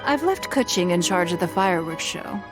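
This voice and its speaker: A woman playing a poised, commanding noblewoman in a game, speaking in a measured, gracious way. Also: fairly low pitch, expressive, natural voice. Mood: explaining. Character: poised noblewoman ruling a harbor city, commanding. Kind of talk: game cutscene voice acting. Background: game music.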